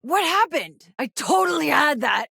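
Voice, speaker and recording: A woman's voice: high voice, very animated, a little slow, gravelly, sharp tone, no echo, raised voice, studio quality, heavily acted. A woman playing a tough, sardonic young soldier in a sci-fi video game, with a wry, gritty, profane manner. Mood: pained, strained. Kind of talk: video game character voice lines.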